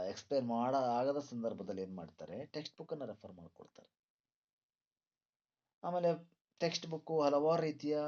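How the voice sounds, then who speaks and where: A man's voice: mid-range voice, a little mellow. A male teacher lecturing students through exam questions, didactic, repeating points and posing rhetorical questions. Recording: dull lo-fi sound.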